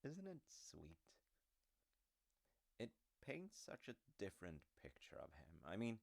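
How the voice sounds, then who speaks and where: A man playing a gentle dad, very deep voice. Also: wildly swooping pitch, slow pace, gravelly, full character voice. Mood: playful, amused. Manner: soft-spoken, hesitant, musing, affectionate. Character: gentle, comforting dad who loves myths and stories. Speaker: audio roleplay performer. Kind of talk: intimate slice-of-life audio roleplay.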